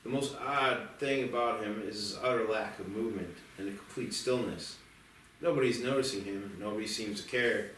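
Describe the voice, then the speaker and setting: A man reading screenplay stage directions in a deliberate, dramatic narration. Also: fairly low pitch, fairly bright tone, slight background noise. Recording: very echoey, distant mic, music behind.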